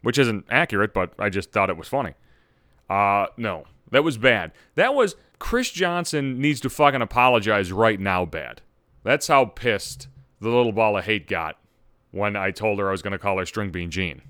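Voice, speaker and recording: A man's voice: lowish voice, lively intonation, moderate pace, no echo, studio quality. Ranting, foul-mouthed male podcast host. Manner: loud, ranting, heavy swearing. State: just over a cold. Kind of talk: profane comedic rant.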